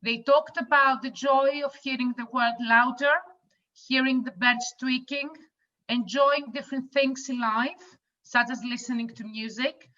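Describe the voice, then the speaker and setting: A woman with a British accent presenting to an online audience, with a loud, upbeat and direct voice over a video call. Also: sits high, steady tone, measured pace, far from the mic, highs cut off. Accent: British English. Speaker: webinar presenter.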